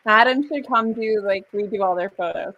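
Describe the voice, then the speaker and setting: A woman's voice: medium pitch, normal speed, fairly bright tone, lo-fi sound. A woman co-hosts a live reaction stream, joking playfully and giggling. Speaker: livestream co-host. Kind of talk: casual live reaction chat.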